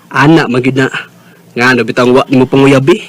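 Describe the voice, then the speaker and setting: A man's voice: medium pitch, fairly even intonation, fairly quick, natural voice. An actor playing a nosy, teasing male friend in a radio drama, speaking with a Cebuano accent. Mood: prodding. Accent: Cebuano Filipino. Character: teasing, nosy male buddy of the lovesick man.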